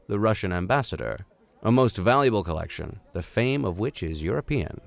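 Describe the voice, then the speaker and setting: A man's voice: on the low side, gravelly, no room sound. A man reading a classic novel aloud as host, dropping irreverent, slangy asides into the formal narration. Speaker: host and reader. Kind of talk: audiobook reading with joking improvised asides.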